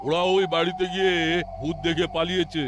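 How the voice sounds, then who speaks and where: A man's voice: fairly high pitch, fairly even intonation, a little fast, music in the background, natural voice. A man voicing a scheming ringleader in a cartoon, giving calculated orders to his hired spies. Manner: commanding, calculating. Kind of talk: cartoon dialogue.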